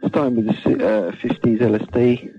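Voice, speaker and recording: A man calling a radio show, delivering a serious, halting monologue. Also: on the low side, fairly even intonation, husky, faint music behind. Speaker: phone-in caller. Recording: dull lo-fi call-in sound.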